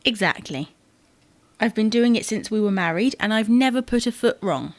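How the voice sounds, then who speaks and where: A woman's voice: mid-range voice, expressive, normal speed, natural voice. A woman playing a stubborn, exasperated wife in a domestic comedy audio drama, indignant and matter-of-fact.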